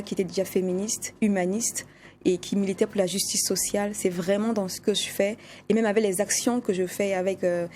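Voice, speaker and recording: A woman's voice: medium pitch, mellow, a little hiss or hum. A woman from Cameroon, a guest singer on a television panel, speaking passionately and insistently. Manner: passionate, insistent, laughs often. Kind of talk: lively panel debate.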